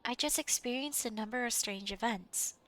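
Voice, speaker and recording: A woman's voice: fairly high pitch, moderate pace, sharp tone. A woman streamer chatting wryly while playing a game and reading menu items aloud. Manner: chatty, wry, reads game text aloud. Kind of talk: casual let's-play commentary.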